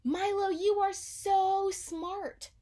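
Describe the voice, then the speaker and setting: A woman's voice: extremely high pitch, fairly even intonation, extremely slow, mellow, voice pushed up, exaggerated voice. A woman voicing an eager, bossy little girl who calls out emphatically in a children's storybook. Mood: delighted. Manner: emphatic, calling out. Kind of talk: children's storybook read-aloud.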